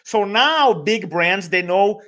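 A man from Brazil with a Brazilian accent talks with energy in a podcast interview, his voice sitting a little high for a man. He doubles up his words for emphasis and acts out little bits of dialogue as he tells his story. Portuguese is his first language. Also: expressive, takes it slowly, bright, forceful tone. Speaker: guest, speaker and trainer.

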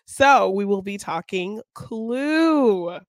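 A woman's voice: medium pitch, lively intonation, extremely slow, no echo, studio quality. A woman co-hosting a film podcast, chatting in an easygoing, giggly way. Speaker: podcast co-host. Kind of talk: casual film podcast chat.

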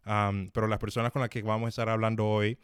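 A man's voice: fairly low pitch, flat delivery, fast talker, clear, resonant tone, no echo, studio quality. A man hosting a live-streamed interview, talking with many fillers and restarts. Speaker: host.

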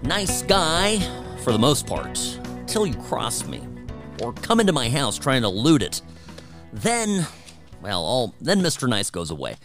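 A man's voice: medium pitch, sing-song, unhurried, music in the background. A man hosting a talk radio show, delivering an opinionated, emphatic and conversational monologue. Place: radio studio.

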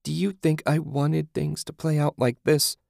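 A man's voice: mid-range voice, steady tone, a little slow, creaky, darkish tone, no room sound, clean studio sound. A man voicing a self-loathing, beaten-down mother in an audiobook, confessing and pleading. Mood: desperate pleading. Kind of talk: audiobook character acting.

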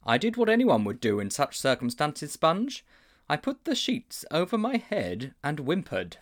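A man's voice: a little high, wildly swooping pitch, unhurried, voice pushed up. A man voicing an earnest, slightly pompous schoolboy with precise, formal phrasing in an audiobook. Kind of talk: audiobook character voice.

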